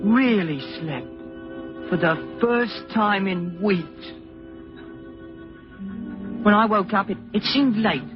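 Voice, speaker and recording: A man's voice: sits high, slow, long pauses, music in the background. An actor playing a nervous, guilt-ridden young British man in an old radio drama, stammering and hesitating as he speaks. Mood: haunted narration. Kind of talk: 1940s radio drama acting. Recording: muffled old radio recording.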